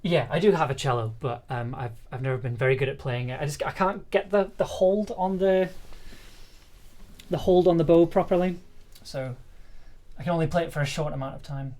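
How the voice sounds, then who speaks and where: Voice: mid-range voice, a little fast, a little mellow. A livestream host chatting casually while demonstrating, with a hesitant, self-deprecating manner. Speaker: livestream host, audio drama producer and musician.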